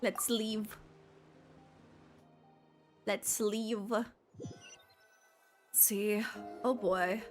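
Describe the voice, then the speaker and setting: A woman's voice: medium pitch, fairly even intonation, extremely slow, long pauses, soft background music. A woman streamer giving casual, playful live reaction commentary on a video game.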